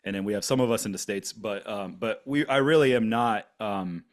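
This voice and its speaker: Podcast co-host, man in his 40s, German-born, German native speaker; low voice, loose chatty storytelling full of ums. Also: a little fast. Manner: rambling storytelling with many fillers and restarts. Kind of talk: casual podcast conversation.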